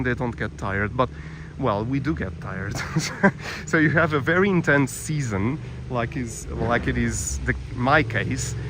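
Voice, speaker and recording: A man from Portugal with a Portuguese accent, chatting casually and a little haltingly as he hosts a live walking tour. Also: mid-range voice. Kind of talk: casual live vlog chat. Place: outdoors, walking through a village. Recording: clear outdoor ambience and traffic noise.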